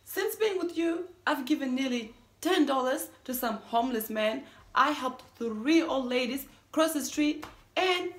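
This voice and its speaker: A woman's voice: medium pitch, gravelly, natural voice. A woman performing a theatrical monologue as a self-declared mean young woman resisting a marriage proposal, dramatic and emphatic. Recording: echoey room.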